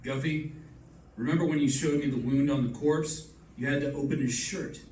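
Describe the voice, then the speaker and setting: A man's voice: fairly high pitch, fairly even intonation, normal speed, darkish tone, natural voice. A man playing an unflappable, wry detective in a live radio play, assured and matter-of-fact. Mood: wry confidence. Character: unflappable wry male detective reconstructing a murder. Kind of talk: live old-time radio drama acting. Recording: echoey stage, distant mic, background noise.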